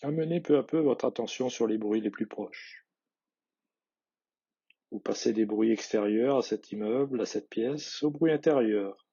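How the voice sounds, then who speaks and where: A man guiding a yoga nidra relaxation, reading measured instructions in a husky voice with soft phrase endings. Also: medium pitch, dull audio. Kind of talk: guided relaxation meditation, read instructions.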